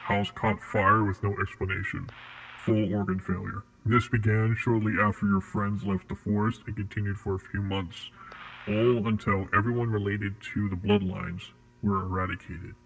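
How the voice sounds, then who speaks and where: A man playing a cold, formal interrogator at a secret facility in a horror audio drama, with a husky, measured delivery. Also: fairly low pitch, level tone, a bit bright, slight background noise, poor audio.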